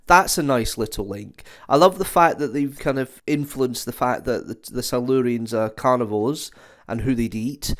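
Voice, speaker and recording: A man's voice: mid-range voice, moderate pace, a bit bright. A man reviewing in a conversational, opinionated way, as commentary for a video.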